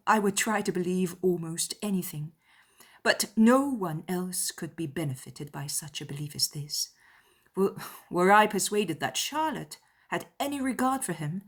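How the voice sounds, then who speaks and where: A woman voicing a spirited, opinionated young woman in a dramatized period novel, arguing emphatically with deliberate stresses. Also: medium pitch. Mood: skeptical.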